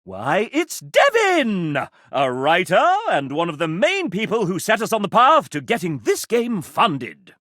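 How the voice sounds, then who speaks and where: A man's voice: sits high, huge pitch swings, a little slow, hardly any pauses, a bit bright, no echo, studio quality, heavily acted. An actor playing a sassy, theatrical male guide, narrating a video game in an arch, chatty way.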